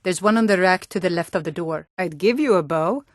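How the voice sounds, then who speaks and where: A woman's voice: lowish voice, a little fast, dry recording, studio quality, close to an everyday voice. A woman voicing a guarded, self-reliant young archery instructor in a video game, plain-spoken and composed. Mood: guarded, matter-of-fact. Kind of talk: video game character dialogue.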